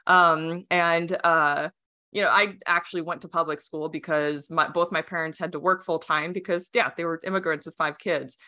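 A woman's voice: low voice, steady tone, moderate pace, bright, forceful tone. A young adult woman whose first language was Romanian, a podcast guest talking casually, rambling with many fillers, restarts and frequent laughs. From United States. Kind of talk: casual interview chat.

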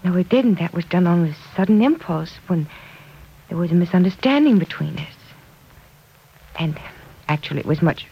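A woman playing a caring, protective guardian, gentle and earnest with a teasing side, in 1940s radio serial acting. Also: on the low side, animated, moderate pace, pauses often, breathy edge. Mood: earnest, concerned. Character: Penny Gibbs, caring, protective woman guardian wary of young love. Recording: dull old radio recording.

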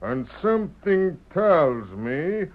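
Slow, swooping outlaw villain. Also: male voice, fairly high pitch, big pitch swings. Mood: vengeful, gloating. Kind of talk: old-time radio melodrama acting. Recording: dull vintage radio broadcast recording.

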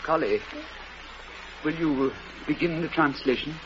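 An actor playing a devoted, gentle schoolteacher husband in an old-time radio drama, speaking earnestly and haltingly. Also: male voice, a little high, natural voice. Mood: strained, subdued. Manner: earnest, halting, emotional delivery. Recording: dull, noisy old radio recording.

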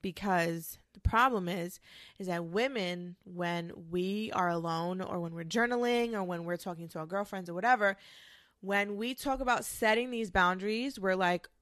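A woman's voice: mid-range voice, moderate pace, fairly bright tone, no room sound, clean studio sound. A young woman with a congested, nasal voice from a cold, giving frank, chatty advice as a podcast host and swearing casually.

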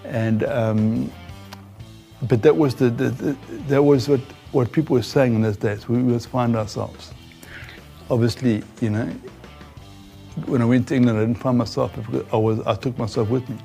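An older man with a Southern African accent recounts his personal story. He speaks haltingly and reflectively at a medium pitch, with music clearly playing behind him. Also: steady tone, dark tone. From Zambia. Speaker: guest giving a testimony.

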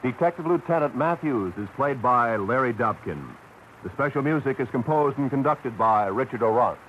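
A man's voice: mid-range voice, animated, moderate pace. An American man reading scripted credits as a 1940s radio announcer. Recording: muffled, hissy vintage radio recording.

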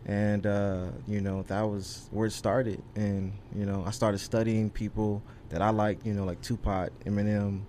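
A man's voice: fairly low pitch, little pitch movement, moderate pace, hardly any pauses, dark tone, a little hiss or hum. A young man from California, a guest on a podcast, telling his story in an earnest, rambling way full of ums and you knows. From California, USA. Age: young adult. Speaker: rapper, guest. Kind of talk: casual interview chat.

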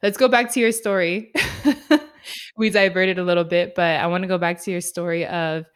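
Chatty podcast host, woman, a touch low-pitched, laughs easily. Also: steady tone, normal speed, smooth. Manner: chatty, laughs often, many "like"s. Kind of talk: casual interview conversation.

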